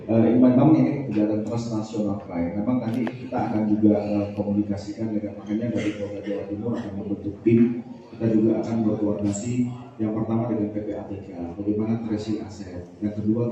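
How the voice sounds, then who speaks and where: Measured police official in an echoey hall. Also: male voice, fairly low pitch, dark tone, slight background noise. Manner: measured official tone with frequent hesitations. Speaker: police official at a press conference. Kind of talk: formal press briefing. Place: large hall at a press conference. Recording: echoey hall from far off, dull lo-fi.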